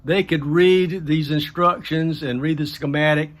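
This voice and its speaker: A man's voice: fairly high pitch, fairly even intonation, a little slow. A man hosting a do-it-yourself build series, explaining things casually in a folksy, plain-spoken way with colloquial grammar.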